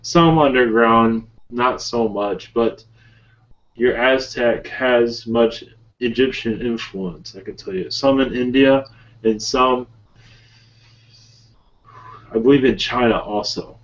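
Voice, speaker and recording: An ancient otherworldly guide channeled by a man speaks slowly and solemnly in broken, measured phrases. The delivery is calm and halting, and the sound is dull and lo-fi, like a web call. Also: medium pitch. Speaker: channeler leading a webinar session. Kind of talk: slow improvised channeled speech. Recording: muffled lo-fi webinar call audio.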